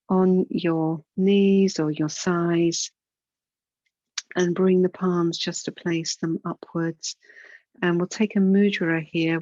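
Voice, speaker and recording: Female yoga nidra guide, lowish pitch, soothing and unhurried, very dry room sound. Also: dry recording, lo-fi sound. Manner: soothing, unhurried, gentle guidance with pauses. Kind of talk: guided relaxation and breathing instruction.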